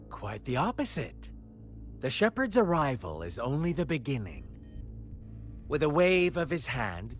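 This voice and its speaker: A man playing a sly, eloquent storyteller in a video game, theatrical and condescendingly playful, savoring his pauses over background music. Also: a little high. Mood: sly storytelling. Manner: theatrical, savoring pauses, teasing rhetorical questions. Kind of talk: dramatic video game dialogue and fable narration.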